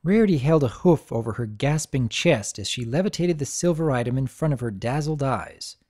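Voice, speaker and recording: A woman with a low voice narrates a story as an expressive dramatic reading. Her delivery rises and falls widely, carrying the scene along. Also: moderate pace, husky.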